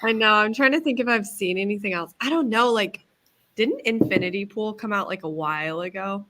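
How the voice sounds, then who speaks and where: A chatty young woman in a relaxed group call, her voice bright and clear. She rambles easily from topic to topic, dropping in plenty of fillers and little laughs. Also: medium pitch, brisk. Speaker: book club co-host. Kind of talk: casual group chat on a video call.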